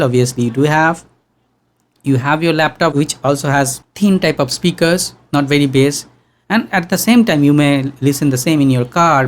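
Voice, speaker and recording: A young man teaching sound engineering in an online workshop, talking casually and humming low tones to demonstrate, close to a studio mic in a small room. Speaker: audio engineering instructor. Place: small room with green backdrop. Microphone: studio condenser mic on boom arm.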